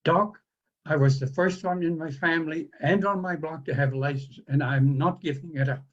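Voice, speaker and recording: A man's voice: fairly high pitch, normal speed, lightly acted. An older man acting a stubborn, boastful old husband in an amateur audio play. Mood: defiant. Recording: muffled audio play with music behind.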